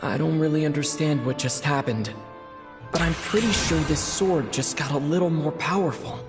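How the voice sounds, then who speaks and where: An actor playing a thoughtful, determined young adventurer in a video game, musing to himself in a measured way. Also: male voice, on the high side, music in the background, natural voice. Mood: curious, musing.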